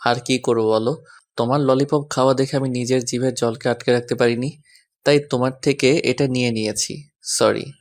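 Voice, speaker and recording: A man's voice: medium pitch, steady tone, normal speed, lightly acted. A man playing a mischievous, doting young husband who teases and coaxes in a romantic audio story. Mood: teasing. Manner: teasing, coaxing.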